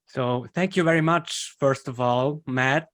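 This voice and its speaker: A young man with a Swiss German accent, a guest in an interview who explains his background haltingly, with pauses and restarts. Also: mid-range voice, fairly bright tone. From German-speaking Switzerland. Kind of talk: casual interview talk.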